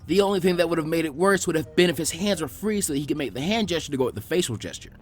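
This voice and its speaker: Animated, higher-pitched male story narrator. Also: racing, soft background music. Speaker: fan fiction narrator. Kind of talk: expressive scripted story narration.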